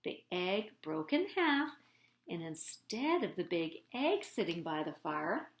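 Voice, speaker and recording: A woman reading a children's picture book aloud as a storyteller, with dramatic, deliberate emphasis, recorded in an echoey room. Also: fairly low pitch, big pitch swings, slight background noise.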